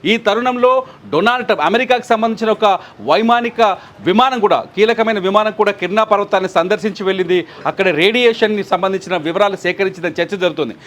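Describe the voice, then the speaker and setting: A man's voice: high-pitched, quick pace, fairly bright tone. A man giving news analysis as a commentator, explaining and repeating points for emphasis.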